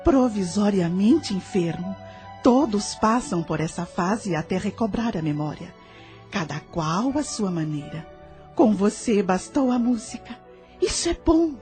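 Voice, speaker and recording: A woman playing a gentle spirit caretaker in an audio drama, calm and tender, with a soft, velvety voice. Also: mid-range voice, a little slow, soft background music, lightly acted. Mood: calm, comforting. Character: gentle, soothing female spirit caretaker of the newly dead.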